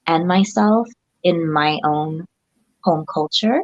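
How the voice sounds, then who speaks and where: A woman's voice: on the low side, slow pace, no echo, muffled recording. A woman from Japan, a podcast guest, speaking English reflectively and hesitantly. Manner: soft-spoken, reflective, hesitant fillers. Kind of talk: casual interview.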